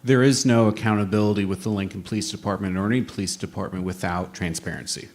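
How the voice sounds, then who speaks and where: A man's voice: fairly low pitch, fairly even intonation, quick pace, a little mellow. A man giving a formal political answer at a forum, with emphatic, policy-heavy phrasing. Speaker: city council candidate.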